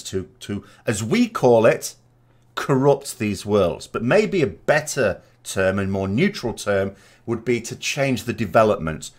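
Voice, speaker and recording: A man giving informal, speculative commentary as a gaming vodcast host, reasoning aloud as he goes. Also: fairly low pitch. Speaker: video game lore commentator and vodcast host.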